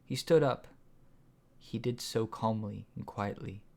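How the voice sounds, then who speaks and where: A man's voice: lowish voice, a little slow, vocal fry. Man narrating an audiobook story. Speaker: author reading own audiobook.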